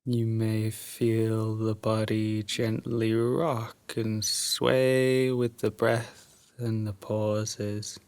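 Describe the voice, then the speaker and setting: Male guide for sleep meditation: drowsy, breathy, very unhurried delivery, dry close sound. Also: lowish voice, level tone, no room sound. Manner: soft, drowsy, soothing, with long pauses.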